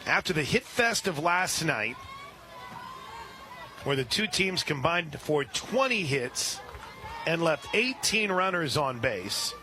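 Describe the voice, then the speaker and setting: A man doing radio play-by-play of a softball game, calling pitches steadily and rising on big plays. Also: slow, pauses often. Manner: steady play-by-play with brief asides, rising when excited. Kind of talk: radio sports commentary.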